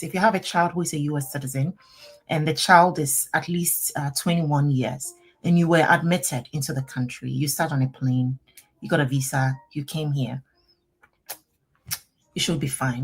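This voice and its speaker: A middle-aged woman attorney, answering viewers' questions on a live stream in a chatty, animated way. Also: deep voice. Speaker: immigration attorney.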